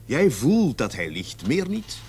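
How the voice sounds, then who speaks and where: A man's voice: mid-range voice, huge pitch swings, takes it slowly, darkish tone, slight background noise, full character voice. A middle-aged man acting in a radio play as a seasoned, level-headed police sergeant who reasons with patient authority. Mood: reasoning, patient.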